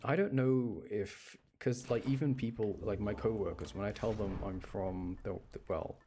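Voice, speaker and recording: A man's voice: fairly low pitch, moderate pace, mellow, slight background noise. A man with a British accent chatting casually and rambling as he thinks aloud while commentating on a video game he is playing. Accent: British, generic English. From English Midlands, UK. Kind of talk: casual chat with gameplay commentary. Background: game music.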